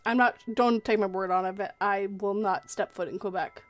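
A woman's voice: medium pitch, steady tone, moderate pace. A woman with a Canadian accent chatting casually and jokingly on a livestream.

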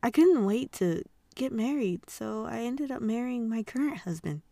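A woman's voice: on the high side, expressive, moderate pace, creaky, warm tone, no echo. A woman voicing a wistful, easily flustered married former magical-girl warrior in an amateur manga dub.

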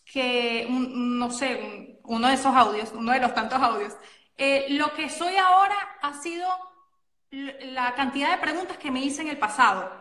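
A Venezuelan woman, a guest coach and model, talking in a chatty, animated way and often correcting herself mid-sentence. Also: high voice, echoey room. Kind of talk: casual interview chat.

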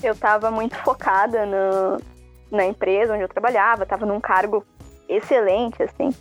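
A 24-year-old woman with a southern Brazilian gaúcho accent chatting on a podcast, relaxed and a bit hesitant. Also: mid-range voice, moderate pace, soft background music. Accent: southern Brazilian gaúcho Portuguese. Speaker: podcast co-host. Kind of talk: casual podcast chat.

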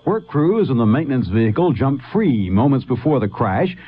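A man's voice: mid-range voice, big pitch swings, moderate pace. Male radio correspondent, thin phone-like line. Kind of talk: scripted news report.

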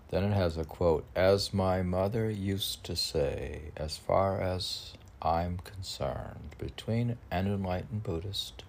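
A man reading his own poems and adding casual, rambling asides in a musing, halting manner. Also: deep voice, creaky, a little mellow. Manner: musing, halting, talks back to own lines.

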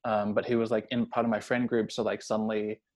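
A man's voice: on the low side, little pitch movement, quick pace, a little mellow. A young adult man talking casually and personally, hesitant and rambling, leaning heavily on filler words. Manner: hesitant, rambling, heavy use of "like".

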